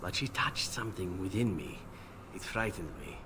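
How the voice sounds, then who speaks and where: A man playing a weary, guilt-ridden elven assassin in a video game, speaking in a measured, solemn, confessional way. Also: low voice, lively intonation, noisy background. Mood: somber, bitter. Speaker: game companion character. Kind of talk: dramatic video game voice acting.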